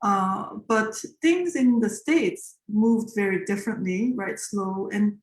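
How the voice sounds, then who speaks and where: A Korean-born woman composer in her forties, a native Korean speaker, answering interview questions in a reflective way with many pauses and fillers. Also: medium pitch, roomy sound, rough recording.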